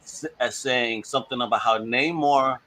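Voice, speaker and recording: A man's voice: medium pitch, steady tone, moderate pace, bright, forceful tone. A man hosting a casual podcast chat, enthusiastic and laughing often as he asks his guests questions. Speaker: podcast host.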